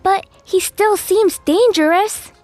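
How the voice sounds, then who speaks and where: A woman voicing a gentle, earnest young girl in a children's storybook. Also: very high voice, slow pace, soft background music, pitched above the performer's own voice. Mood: worried. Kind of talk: children's storybook role-play.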